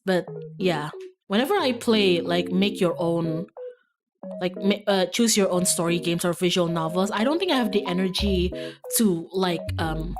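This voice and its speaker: A woman's voice: on the low side, moderate pace. A woman streamer chatting casually with her viewers in a playful, giggly, rambling manner. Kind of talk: casual livestream chat with viewers. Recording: music behind the voice. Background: background music.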